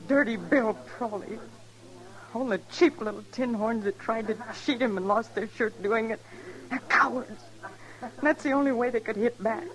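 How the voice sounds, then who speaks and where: An actress playing a vengeful Old West card dealer in a radio drama, hard and defiant. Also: female voice, on the high side, moderate pace, natural voice, poor audio. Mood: bitter, determined. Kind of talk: old-time radio western acting.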